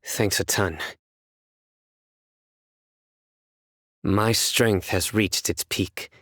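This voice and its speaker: A man voicing a stern, principled young judge in a video game, reserved, with measured, formal delivery. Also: lowish voice, fairly even intonation, fairly bright tone, no room sound, studio quality. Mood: resolute. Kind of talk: scripted video game character voice lines.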